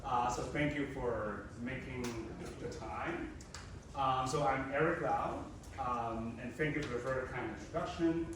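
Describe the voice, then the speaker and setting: A man's voice: mid-range voice, measured pace. A man in his thirties from Hong Kong, giving a conversational public talk to students and speaking to them directly. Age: 30s. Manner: conversational, addressing the audience directly. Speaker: guest speaker. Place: lecture room. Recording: roomy, distant mic with background noise.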